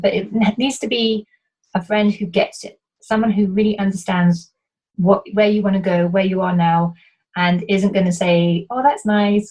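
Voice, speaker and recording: A woman's voice: mid-range voice, mellow, rough recording. A woman from Wales with a light, toned-down British accent, answering interview questions as a business coach, earnest and explanatory, often restarting her sentences. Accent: light British, toned-down Welsh.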